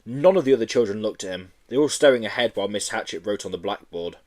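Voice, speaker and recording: Lively male storybook narrator. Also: fairly low pitch, fast talker. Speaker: audiobook reader. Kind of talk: expressive children's story narration.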